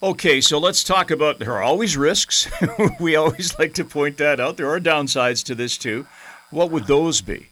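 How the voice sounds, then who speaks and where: A man's voice: on the high side, normal speed, pushed and bright. A man hosting a radio talk show, lightly joking and asking his guest leading questions.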